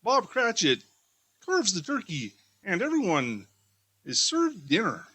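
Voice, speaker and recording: A man narrates a storybook from a script. His voice sits high and moves expressively, with a husky edge. The sound is dry. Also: wildly swooping pitch, slow, pushed and bright, no room sound.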